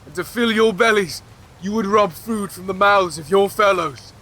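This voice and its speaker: An actor playing a grieving, exhausted, merciless king in a fantasy audio drama, speaking formally and with deliberate command. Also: sits high, sharp tone. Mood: stern, anguished.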